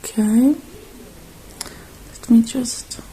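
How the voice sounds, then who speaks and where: A woman performs a soft-spoken ASMR roleplay, drifting into whispers. Her voice is mellow and slow, with a halting, reassuring manner, over faint background noise. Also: fairly high pitch, steady tone, poor audio. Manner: gentle, hushed, halting, reassuring. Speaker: ASMR performer playing a robot repair technician.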